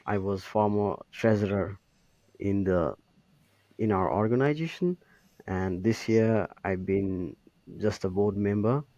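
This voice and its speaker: A man's voice: fairly low pitch, slow, mellow, no room sound, highs cut off. A man, a patient advocate introducing himself earnestly. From Nepal. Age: 20s.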